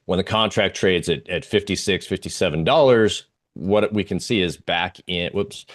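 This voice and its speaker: A man giving informal live market commentary as a guest analyst, halting and searching for his words. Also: sits low.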